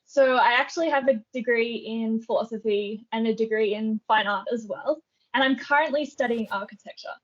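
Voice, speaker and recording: Young aussie woman, kinda high voice, chatty and thoughtful, bit muffled audio. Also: normal speed, a bit bright. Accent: Australian. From Australia. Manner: thoughtful, with frequent ums and light laughs. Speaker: guest artist. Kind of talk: casual interview answers.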